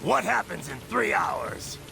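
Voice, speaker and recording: An actor playing a vengeful cyborg swordsman in a video game, intense and menacing, with a gravelly voice. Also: high voice, big pitch swings, takes it slowly, bright, forceful tone, faint music behind, full character voice, rough recording. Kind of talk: dramatic video game acting.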